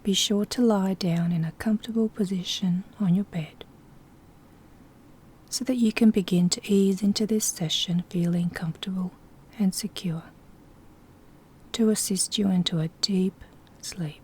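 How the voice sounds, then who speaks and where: A soft-spoken woman with a breathy, whispery voice gently guiding a sleep hypnosis session in a soothing, instructing way. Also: mid-range voice, fairly even intonation, measured pace, pauses often. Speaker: hypnosis guide.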